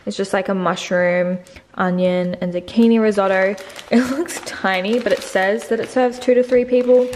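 A woman's voice: mid-range voice, echoey room, noisy background. A young woman, chatting casually and giggly to a handheld camera in a holiday rental. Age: 20s. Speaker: travel vlogger. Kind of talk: casual vlog chat to camera. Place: holiday rental house, indoors. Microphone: handheld camera at arm's length.

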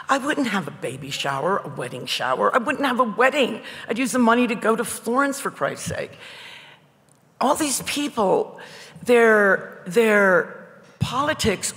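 An older woman painter with a loud voice, talking bluntly and wryly as the guest at a public conversation. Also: medium pitch. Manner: blunt, wry and digressive. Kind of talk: candid conversational talk at a public event. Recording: echoey hall.

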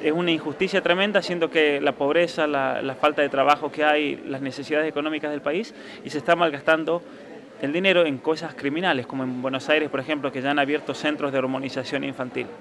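Young male, Argentine accent blurred by years abroad, slightly high voice, very quick delivery, earnest interviewee. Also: fairly even intonation, a crowd in the background. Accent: Argentine, softened by years abroad. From San Juan, Argentina. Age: young adult. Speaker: author being interviewed. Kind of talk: interview answers.

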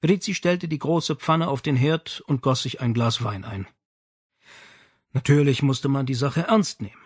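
A man's voice: mid-range voice, a little slow, husky, no room sound, clean studio sound. A man reading a novel aloud as scripted audiobook narration.

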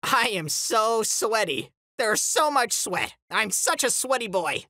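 Casting: excitable male cartoon superhero DJ, high and piercing, theatrical, wildly animated delivery. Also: slow, no echo, studio quality, full character voice. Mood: melodramatic, dismayed. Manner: theatrical, exclamatory, whiny when upset. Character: excitable loud male superhero radio DJ, melodramatic.

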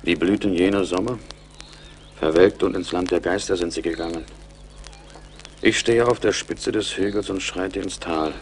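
An actor playing a grave, proud Mohican chief in a radio play, speaking in a solemn, measured, poetic way with a gravelly voice. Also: male voice, low voice, steady tone, a little hiss or hum, close to an everyday voice. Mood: solemn, mournful. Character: grave, proud middle-aged Mohican chief mourning his people. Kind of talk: German radio play acting.

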